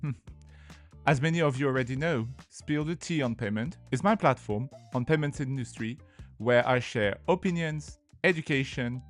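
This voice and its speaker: A man with a French accent hosting a podcast, reading a playful scripted intro and then interviewing a guest, with lively jumps in pitch. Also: normal speed, faint music behind. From France. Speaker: podcast host.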